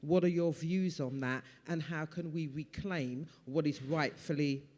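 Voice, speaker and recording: A man from the audience thanking the speaker and asking a question from the floor, warm and a little halting. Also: on the high side, fairly even intonation. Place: event hall. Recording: long reverb of a hall.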